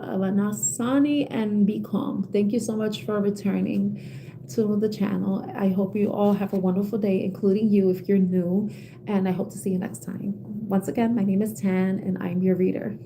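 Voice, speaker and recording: A young woman giving a collective tarot reading with a soothing voice, talking gently and rambling with many fillers and restarts. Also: medium pitch, fairly even intonation, normal speed, slight background noise, poor audio. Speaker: tarot reader.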